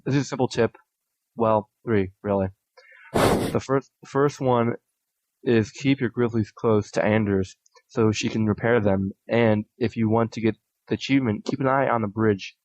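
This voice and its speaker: A man hosting a podcast, reading listener submissions aloud in a steady, plain way with small restarts. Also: fairly low pitch, no echo. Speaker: podcast host. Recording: dull lo-fi audio.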